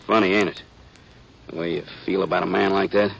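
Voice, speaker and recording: An actor playing a weary, blunt small-town deputy in an old-time radio western, with a folksy, sarcastic way of talking. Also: male voice, low-pitched, fairly even intonation, a little fast, vocal fry, muffled recording, full character voice. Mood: wry, reflective. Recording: noisy vintage radio recording.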